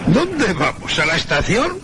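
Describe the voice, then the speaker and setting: Actor as an excitable high-voiced old taxi driver. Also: male voice, unhurried, very noisy, heavily acted. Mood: wary, gruff. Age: older. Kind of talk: radio horror drama acting. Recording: radio play with loud music.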